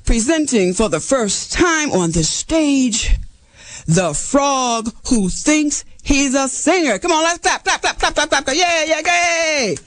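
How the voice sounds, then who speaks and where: Woman playing a male fox showman, brusque, bossy, repetitive, lively storybook delivery, dry sound. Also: high-pitched, slow pace, no echo, faint music behind. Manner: brusque, fussy, repeats himself. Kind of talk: animated children's storytelling.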